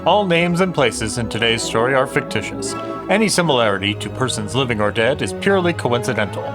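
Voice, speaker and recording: An actor playing a formal, inviting 1950s network radio announcer reading scripted credits. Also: male voice, medium pitch, expressive, brisk, music in the background, close to an everyday voice.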